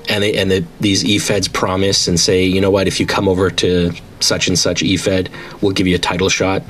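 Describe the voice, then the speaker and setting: A man's voice: low-pitched, flat delivery, normal speed, fairly bright tone, slight background noise. A man in his late thirties, talking casually and enthusiastically as the founder of an online wrestling league, rambling with frequent restarts. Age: 30s. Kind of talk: casual monologue to an audience.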